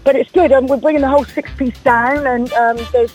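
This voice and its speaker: A woman from Bristol with a Bristol accent, a guest musician chatting in a casual phone interview, lively and full of restarts. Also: high voice, fairly quick, faint music behind, rough recording. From Bristol, England. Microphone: telephone.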